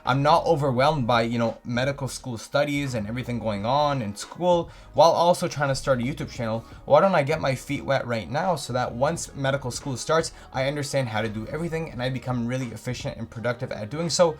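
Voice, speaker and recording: A man's voice: mid-range voice, brisk, few pauses. A man in his twenties from Canada, chatting eagerly to camera in a casual vlog as he reads out viewer questions and answers each one at length. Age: 20s.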